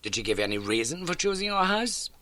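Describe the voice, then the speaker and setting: A man voicing a brisk, courteous gentleman detective in an audiobook, questioning in precise, formal phrases. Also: on the high side, big pitch swings, no echo, pitched above the performer's own voice. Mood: brisk, inquisitive. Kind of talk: audiobook character acting.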